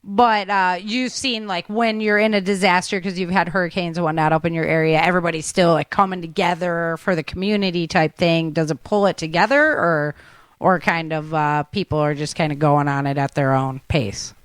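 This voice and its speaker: A woman with a fairly low voice hosts a casual interview podcast. She talks in a rambling, conversational way, and the sound is dry, with very little room in it. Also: fairly even intonation, brisk, clear, resonant tone, no room sound. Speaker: podcast host.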